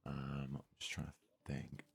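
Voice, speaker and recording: A man speaks in a very deep, husky murmur with little rise or fall in pitch. He is hosting an ASMR let's play, softly thinking aloud at an unhurried pace, close to the mic. His delivery stays level and gentle throughout. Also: monotone. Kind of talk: soft-spoken ASMR gaming commentary.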